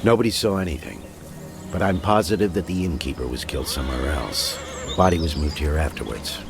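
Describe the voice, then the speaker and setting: An actor playing a gruff, demanding captain of the guard in a video game, blunt and commanding with a gravelly voice. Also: male voice, extremely low pitch, brisk, music in the background. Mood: businesslike. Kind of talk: dramatic video game cutscene acting.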